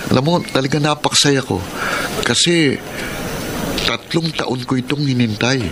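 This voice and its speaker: A man's voice: mid-range voice, unhurried, noisy background, lightly acted. An actor playing an eager, persistent young man courting his sweetheart in a radio drama. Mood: smitten. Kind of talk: Tagalog radio drama acting.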